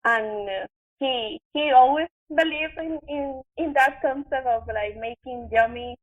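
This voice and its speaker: Thin, band-limited audio carries a young woman's high voice with a Venezuelan Spanish accent. She talks casually as an interview guest, halting and restarting as she tells her story in a conversational way. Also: phone-quality sound. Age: young adult. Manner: halting, filler-heavy, conversational. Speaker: podcast guest, actress and chef.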